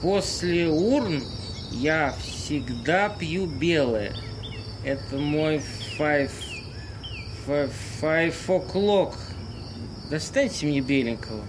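Homeless drunk, played by a male amateur: mock-grand, shameless, unhurried, audio-play style, clearly noisy outdoor backdrop with insects. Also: a little high, expressive. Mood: tipsy, flirty. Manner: mock-grand, rambling tipsy delivery with halting words. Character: drunken homeless man, pompous, cheerfully shameless drinker.

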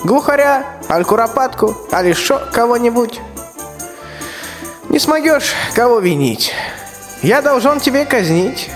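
A woman's voice: a little high, expressive, a little slow, long pauses. A young woman playing a scrawny, spiteful, bossy tsar in a rhymed fairy-tale radio play, speaking in an imperious, theatrical way. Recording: reverberant hall with music.

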